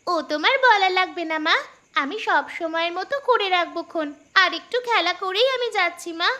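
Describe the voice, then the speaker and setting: A woman's voice: extremely high pitch, normal speed, bright, forceful tone. A woman voicing a sweet, eager little village girl in a cartoon fairy tale, childlike. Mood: carefree.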